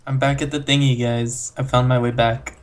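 A teenage guy with a medium-pitched voice talks easily over voice chat, teasing his friends as they play. A light hiss sits under his voice. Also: flat delivery, normal speed. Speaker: player. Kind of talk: casual gaming chat.

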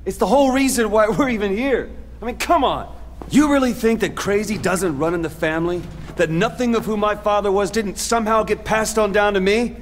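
An actor playing a bitter, tough young mercenary in a video game cutscene, American, with a blunt, sardonic delivery. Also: male voice, high voice, brisk, in a large hall, slight background noise, lightly acted. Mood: bitter, agitated. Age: young adult.

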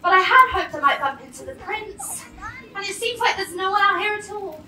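A sweet, wide-eyed young pantomime heroine, acted by a woman. The voice is extremely high and piercing, hopeful and exclamatory, from far off in a roomy theatre. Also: animated, moderate pace, sharp tone, exaggerated voice. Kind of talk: pantomime stage acting to audience. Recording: echoey theatre stage from far off.

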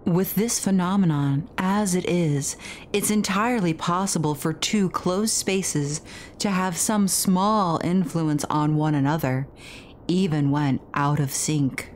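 A woman voicing a cryptic ghostly spirit in a horror game, speaking in a measured, formal, portentous way. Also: fairly low pitch, darkish tone, a little hiss or hum. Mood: grave warning. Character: solemn, cryptic ghostly spirit warning of danger. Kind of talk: reading horror game dialogue aloud.

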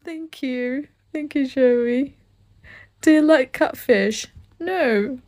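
A woman's voice: sits high, extremely slow, a little mellow. A young woman from the Philippines with a Filipino accent, live-streaming from her phone indoors, gushing and giggling as she thanks viewers. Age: young adult. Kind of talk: casual live-stream chat reading comments. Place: indoor room. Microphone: phone held close at arm's length.

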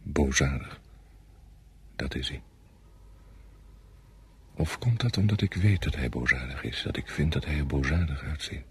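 An actor playing a sombre, doubtful subordinate French army officer in a radio play, deferential yet brooding. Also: male voice, extremely low pitch, measured pace, long pauses, breathy edge, full character voice. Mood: brooding, ominous. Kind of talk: Dutch radio play acting.

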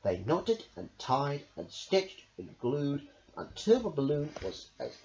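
Woman storyteller, children's book, hugely varied pitch, expressive delivery, lo-fi sound. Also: takes it slowly. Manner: expressive, with big swoops into character voices. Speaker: storyteller reading aloud. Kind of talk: reading a children's picture book aloud. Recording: dull lo-fi home recording.

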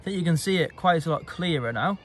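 A man's voice: fairly high pitch, animated, fast, slight background noise. Upbeat young man showing beach finds. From England. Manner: enthusiastic, explanatory, showing finds to camera. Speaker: fossil hunter, channel host. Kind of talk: casual outdoor vlog narration. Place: rocky beach outdoors.

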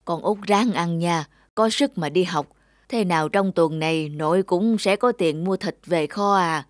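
A man's voice: high voice, a little slow, no echo, clean studio sound. An actor playing a kindly, reassuring old grandfather in a cartoon dub. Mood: tender, weary. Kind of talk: cartoon dubbing. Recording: music behind the voice.

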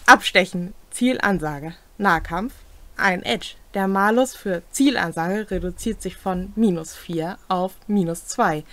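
Slow-reading young woman. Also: medium pitch, a bit bright. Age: young adult. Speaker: co-host explaining tabletop game rules. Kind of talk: reading rules aloud with brief comments. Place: small home room, indoors.

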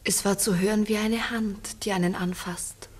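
An actress playing a farmer's quiet, entranced daughter, breathy, hushed and hesitant, in a 1960s radio play. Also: female voice, mid-range voice, fairly even intonation, moderate pace, lightly acted. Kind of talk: 1960s German-language radio play acting.

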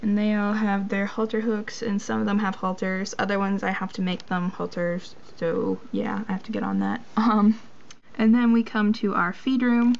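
A woman's voice: medium pitch, moderate pace, gravelly, darkish tone. A teenage girl casually narrating a tour of her toy horse barn, rambling with many ums and joking about being lazy. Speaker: model horse collector giving a tour. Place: indoors at a tabletop toy barn. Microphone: handheld camera mic. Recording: dull lo-fi camera audio with faint hiss.

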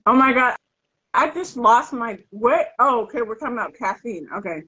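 Husky American woman, higher-pitched, hosting. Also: animated, normal speed, pushed and bright. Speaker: host. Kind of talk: casual livestream Q&A chat. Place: living room at home, video call. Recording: dull, muffled video-call audio.

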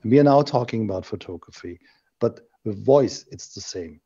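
Middle-aged man, dark mellow tone, dull lo-fi video call sound. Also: lowish voice, animated, normal speed, slightly rough. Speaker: panel guest. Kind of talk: casual discussion. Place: indoor room on a video call. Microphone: desk microphone on a video call.

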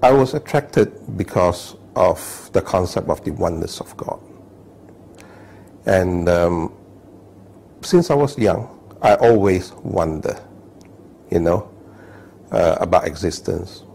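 Deep gravelly man from Malaysia. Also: animated, takes it slowly, pauses often, darkish tone, slight background noise. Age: 50s. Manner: thoughtful, many fillers and "all right?" checks. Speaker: interviewee. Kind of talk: personal testimony, unscripted. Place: indoor living room, seated on sofa.

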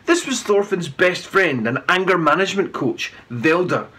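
Animated, high-pitched man reading a story. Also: moderate pace, slightly rough. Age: middle-aged. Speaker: children's author reading aloud.

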